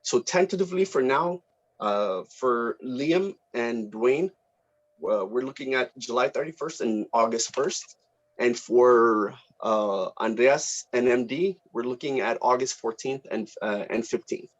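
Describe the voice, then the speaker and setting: A man's voice: medium pitch, measured pace. A man leading a casual online production meeting as director, speaking informally and appreciatively, with hesitant phrasing. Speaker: student film director running a table read meeting. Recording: dull lo-fi online call audio.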